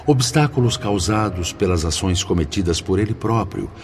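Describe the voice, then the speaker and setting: A man's voice: low-pitched, animated, normal speed. An actor, a man, playing a venerable, fatherly spirit mentor in a radio drama with solemn, oratorical delivery. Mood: earnest encouragement. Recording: music under the voice. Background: background music.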